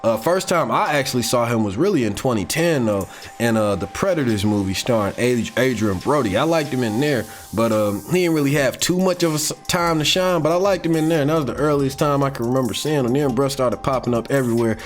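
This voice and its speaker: A man's voice: mid-range voice, animated, fairly quick. Slangy American man rambling over music. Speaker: YouTube commentator on comics and animation. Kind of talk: casual unscripted fan commentary. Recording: music playing behind the voice. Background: background music.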